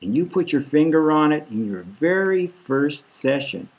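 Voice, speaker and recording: A man reading a satirical novel as a smug male therapist, falsely soothing, patronising and insistent. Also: a little high.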